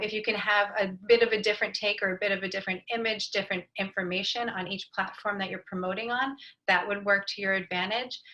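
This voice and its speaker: A woman's voice: mid-range voice, bright, forceful tone, rough recording. A woman giving an informative panel presentation as a marketing expert.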